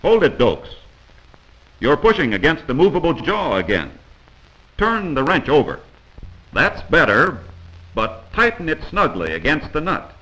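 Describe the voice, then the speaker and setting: A man's voice: a little high, wildly swooping pitch, a little slow, pauses often, sharp tone, slightly rough, rough recording. An American man narrating a 1940s instructional film in a commanding, corrective manner, on a vintage film soundtrack.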